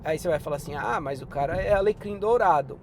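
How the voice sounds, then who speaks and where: A Brazilian man with a Brazilian Portuguese accent giving job-hunting tips in a relaxed, chatty advice vlog. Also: on the high side, fast talker, noisy background. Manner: relaxed and chatty, often drops in "cara" and "né".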